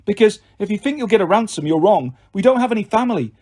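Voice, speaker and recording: A man's voice: high voice, animated, fast, a little mellow, pitched above the performer's own voice. A man voicing a frightened but defiant young girl in a story, anxious and blunt.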